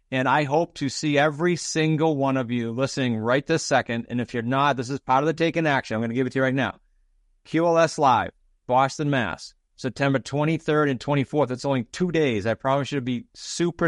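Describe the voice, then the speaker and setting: A man's voice: mid-range voice, dry recording, studio quality. A man hosting a podcast, informal and emphatic, repeating words for stress. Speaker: podcast host. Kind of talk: upbeat podcast intro and interview chat.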